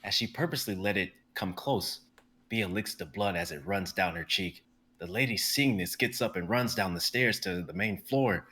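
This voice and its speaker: A young man reading scene directions aloud for a live drama stream, in a brisk and vivid way. His voice is low and bright, and now and then he stumbles over a word. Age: young adult. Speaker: narrator reading stage directions.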